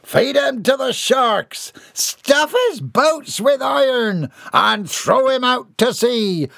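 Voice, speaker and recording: A man voicing rowdy, crude male pirate deckhands who jeer in rough sailor dialect, slow and high-pitched. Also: animated, a bit bright, no room sound, studio quality, exaggerated voice.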